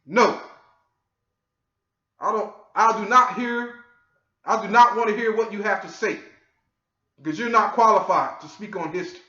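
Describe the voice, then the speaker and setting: A man from the United States lecturing his viewers in a scolding, forceful way and often asking "okay?". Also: sits high, moderate pace, pauses often. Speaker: online commentator. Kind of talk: lecturing monologue. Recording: echoey, dull lo-fi audio.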